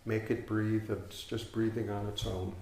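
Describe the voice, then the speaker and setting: Low, gravelly man guiding meditation. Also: flat delivery, measured pace, mellow. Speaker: meditation teacher. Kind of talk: guided meditation. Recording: roomy echo, faint background noise.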